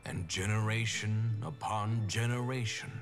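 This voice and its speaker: A man playing a gentle, weary doctor resigned to his fate, narrating a fantasy game story in a measured, solemn way. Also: fairly low pitch, steady tone. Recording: loud music behind the voice. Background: music.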